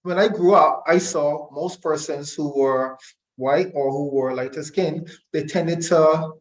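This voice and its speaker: A middle-aged man from Barbados, explaining his views deliberately as an interview guest and repeating phrases for emphasis. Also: on the high side. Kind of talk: reflective conversational interview. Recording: echoey room.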